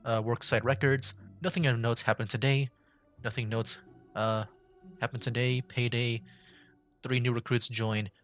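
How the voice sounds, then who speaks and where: A man livestreaming a game with casual commentary, thinking aloud in a loose, halting way. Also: mid-range voice, little pitch movement, a bit bright. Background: game music.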